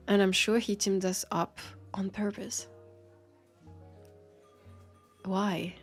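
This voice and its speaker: A woman's voice: medium pitch, vocal fry. A woman with a French accent playing a guarded but friendly vampire police officer in an intimate audio drama, speaking hesitantly and wryly, as if confiding. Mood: wry, resigned. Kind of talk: roleplay audio drama, intimate one-sided conversation.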